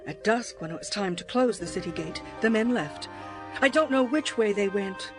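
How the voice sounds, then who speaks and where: A woman's voice: mid-range voice, expressive, normal speed, natural voice. A woman playing an earnest, pleading woman of ancient Jericho in a dramatized scripture reading. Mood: urgent. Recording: music and chirping crickets behind.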